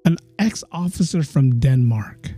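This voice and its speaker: A man's voice: mid-range voice, expressive, unhurried, warm tone, dry recording, faint music behind. A man hosting his own podcast, chatting casually and repeating phrases for emphasis. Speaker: podcast host.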